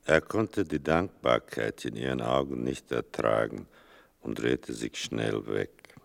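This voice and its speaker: An actor as the grave, unhurried male narrator of a radio drama, with a very deep, gravelly voice. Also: heavily acted. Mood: grave, sombre.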